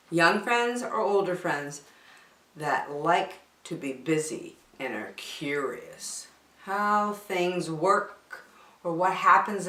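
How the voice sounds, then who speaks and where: Lady doing kids story time, really up and down melodic delivery, echoey room. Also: low-pitched. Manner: simple, deliberate, expressive phrasing for young listeners. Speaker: children's story-time host. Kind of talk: reading a children's picture book aloud. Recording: echoey room, distant microphone.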